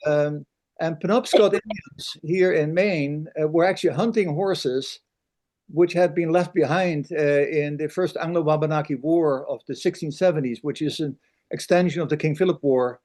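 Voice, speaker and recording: A man's voice: a little high, moderate pace, a little mellow. Older man, rambling question. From Netherlands. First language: Dutch. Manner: rambling, many fillers. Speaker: audience member asking a question. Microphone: video call.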